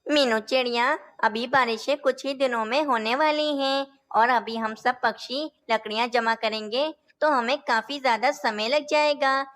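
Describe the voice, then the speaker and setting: A woman's voice: a little high, normal speed, few pauses, fairly bright tone, lightly acted. A woman voicing male cartoon birds in a children's story, speaking in a simple, declamatory way. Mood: earnest. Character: male cartoon birds: helpful parrot and lazy scheming crow. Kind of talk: Hindi animated children's story dialogue.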